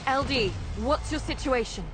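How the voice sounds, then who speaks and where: Woman playing a high-voiced, commanding rebel leader. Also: normal speed, music in the background, lightly acted. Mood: brisk, commanding. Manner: authoritative, briefing. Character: commanding, determined woman leading a rebel operation. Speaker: mission commander. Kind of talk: video game character acting.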